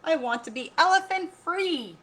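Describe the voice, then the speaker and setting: A woman's voice: very high voice, lively intonation, normal speed, a bit bright, pitched above the performer's own voice. A woman voicing a haughty elephant king who is weary of his crown, in a grand, emphatic storybook style. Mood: weary, longing.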